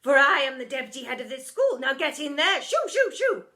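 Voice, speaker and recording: A woman voicing a furious, domineering, half-mad deputy head teacher in a storybook, barking and shouting her orders. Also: sits high, big pitch swings, pitched above the performer's own voice, full character voice. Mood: indignant, bossy. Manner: shouting, barking, drawn-out commanding delivery.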